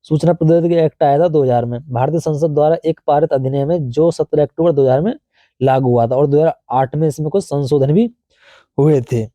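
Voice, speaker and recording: A man's voice: fairly high pitch, fast, warm tone, no echo. A man with a North Indian accent teaching an informal exam review, explaining conversationally and answering his own questions.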